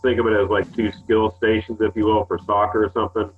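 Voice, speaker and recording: Low-voiced man over a tinny phone line. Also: level tone, fast, soft background music. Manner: rambling, filler-heavy explaining. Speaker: sports department staff. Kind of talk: webinar briefing.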